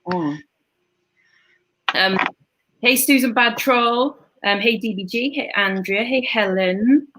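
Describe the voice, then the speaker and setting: A woman's voice: on the high side, takes it slowly, pauses often, a bit bright, low-quality recording. A Welsh woman hosting a casual live stream, chatting in a rambling way with lots of fillers and restarts. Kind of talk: casual chat.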